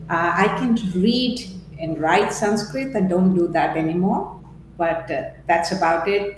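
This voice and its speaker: A middle-aged woman from India, speaking as an interview guest in a measured, thoughtful way with some fillers and restarts. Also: on the low side, a little mellow. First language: Punjabi. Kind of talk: interview conversation. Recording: very echoey room with faint noise.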